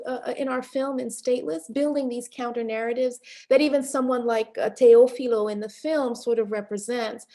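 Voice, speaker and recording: A middle-aged woman with a fairly high voice talks through her ideas slowly and carefully. She circles back, stacks fillers and restarts as she searches for words, and lets a small laugh slip in now and then. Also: a little mellow. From Panamanian and Haitian family background. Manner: thoughtful, many fillers and restarts, occasional laughs. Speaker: film director being interviewed. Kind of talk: reflective interview answers.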